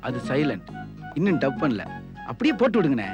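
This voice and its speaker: A man's voice: medium pitch, big pitch swings, a little fast, highs cut off, music in the background, natural voice. A man playing a nervous, wry film production manager in a comic television serial.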